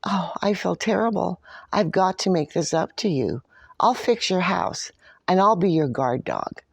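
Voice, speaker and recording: A woman's voice: lowish voice, lively intonation, a little slow, creaky, slightly rough, no room sound, pitched above the performer's own voice, full character voice. A woman voicing a friendly, talkative female dog from a storybook, cheerful, apologetic and eager to please. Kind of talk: storybook character voice.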